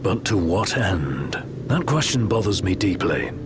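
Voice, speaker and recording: Analytical proper retainer, very low, measured, video game, heavy ambient noise. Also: male voice, sing-song. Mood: pensive, reproving. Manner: precise, formal. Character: measured, analytical, proper male royal adviser. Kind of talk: video game cutscene acting. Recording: loud ambient noise behind.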